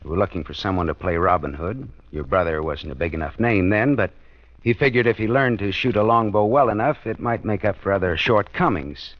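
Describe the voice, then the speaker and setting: A man's voice: on the low side, fairly quick, close to an everyday voice. An actor playing a wry, wisecracking American private detective who narrates his own case in 1940s radio noir style. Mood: wry, challenging. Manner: wisecracking, colloquial, dramatic. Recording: dull old radio recording with music.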